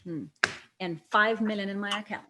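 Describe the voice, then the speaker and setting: An actress in a screenplay table read playing a younger police detective, wry and skeptical, with a dry, probing manner. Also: female voice, medium pitch, normal speed, heavy background noise, natural voice. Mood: wry, dreamy.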